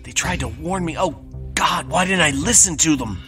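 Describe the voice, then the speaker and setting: A man's voice: a little high, animated, moderate pace, over music, lightly acted. An actor playing a frightened young man trapped in a vampire's mansion, talking to himself anxiously and stammering. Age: young adult. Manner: anxious, stammering inner monologue.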